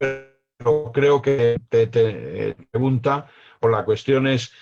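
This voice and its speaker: A man in his sixties from Spain with a Spanish accent, a poet guest on a panel, speaking in a reflective, hesitant way with many pauses. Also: mid-range voice, darkish tone, dull audio, faint music behind. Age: 60s. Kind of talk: panel discussion.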